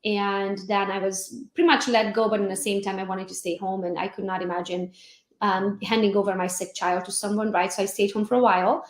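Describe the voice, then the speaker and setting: A woman from Transylvania with a Hungarian accent, telling her life story as an interview guest in a lively, laughing way. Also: medium pitch, fairly quick, reverberant. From Transylvania, Romania. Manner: animated, laughs often, acts out quotes. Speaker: guest, real estate agent.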